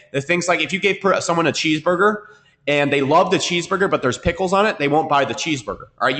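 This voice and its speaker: Man, webinar trainer, very fast, repetitive, sniffly cold, computer mic. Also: mid-range voice, a bit bright. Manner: fast, repetitive, keeps asking "okay?". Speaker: marketing trainer. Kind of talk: live webinar teaching.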